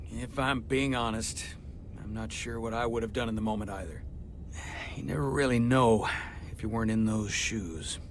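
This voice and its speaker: An actor playing a stern, proud starship captain in a video game, formal and commanding in manner. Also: male voice, medium pitch, slight background noise, close to an everyday voice. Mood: measured, conceding. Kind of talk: dramatic video game acting.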